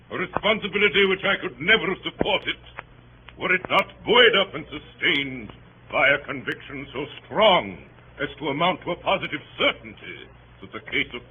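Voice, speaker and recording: An actor playing a pompous, grandiose barrister making an oratorical speech in a 1930s radio drama. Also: male voice, fairly high pitch, sharp tone, heavily acted. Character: grandiose, bombastic male barrister addressing a jury. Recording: noisy, dull 1930s radio recording.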